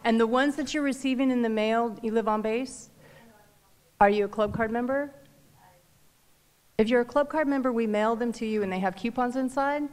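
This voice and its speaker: A woman's voice: on the high side, moderate pace, long pauses, darkish tone. A woman, making informal announcements about base events at a town hall. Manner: chatty, informal, says y'all. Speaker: base services staffer.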